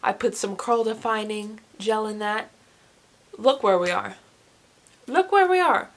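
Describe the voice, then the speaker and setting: A young woman in her late teens chatting casually to camera as a book vlogger, rambling with animated, self-deprecating asides. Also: mid-range voice, measured pace. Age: young adult, late teens.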